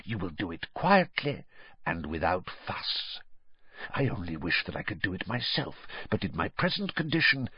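Sickly menacing dark lord, read by a man: hissing, breathy, tight and dry. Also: deep voice, big pitch swings, normal speed, dry recording, dull audio, exaggerated voice. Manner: hissing whisper.

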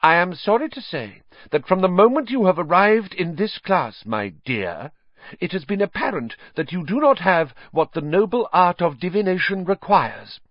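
A man voicing an airy, dreamy older woman who teaches fortune-telling, speaking in a hushed, mystical, drawn-out way. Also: on the high side, sing-song, dry recording, voice pushed up, full character voice. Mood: lofty. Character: airy, dreamy female seer, an old teacher. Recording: dry, slightly dull lo-fi.

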